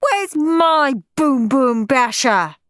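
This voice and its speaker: A woman's voice: sits high, big pitch swings, takes it slowly, fairly bright tone, no room sound, clean studio sound, voice pushed up, exaggerated voice. A woman voicing a spoiled, stuck-up boy who screams and howls in a storybook reading. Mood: wailing tantrum. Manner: screaming, howling. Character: spoiled, whiny snobbish boy.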